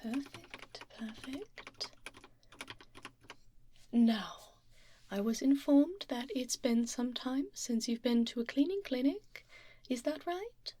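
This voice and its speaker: A woman voicing a calm, courteous female doctor in an ASMR roleplay, soft and half-whispered, reassuring and unhurried, close to the microphone. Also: sits high, slight background noise. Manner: unhurried, reassuring, murmuring little acknowledgements. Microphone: close binaural ear microphone.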